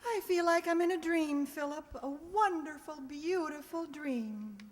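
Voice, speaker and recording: Wry female English teacher actress, high voice, theatrical radio comedy style, big reverberant hall. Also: measured pace. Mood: dreamy. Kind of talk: old-time radio sitcom acting.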